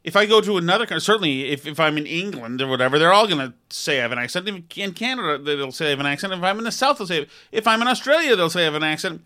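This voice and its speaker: A man's voice: on the high side, expressive, rapid-fire, few pauses, pushed and bright. A man co-hosting a podcast, arguing in a sarcastic, rambling way during casual banter. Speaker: podcast co-host.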